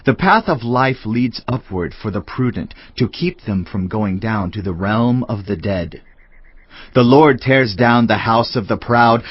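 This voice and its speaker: A man giving a dramatised reading of scripture in a solemn, measured delivery. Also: lowish voice, no echo, highs cut off. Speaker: audio Bible reader. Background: clear background music.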